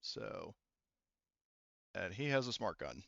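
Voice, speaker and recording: A man running an online tabletop roleplaying game as game master, thinking aloud in a halting way over a headset mic. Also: sits low, sing-song, creaky, a bit bright, no room sound, highs cut off. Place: online voice session.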